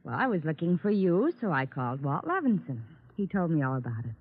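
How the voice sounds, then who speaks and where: A woman's voice: sits low, very animated, a little fast. A teasing girlfriend in an old radio drama, voice swoops all over, tinny. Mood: coy teasing. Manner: coy, playful. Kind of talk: 1940s radio acting.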